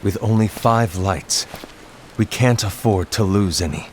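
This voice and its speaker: A man playing a calm, dutiful leader in a video game cutscene, speaking in a measured, formal way. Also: on the low side, a little hiss or hum, natural voice. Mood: solemn, resolute.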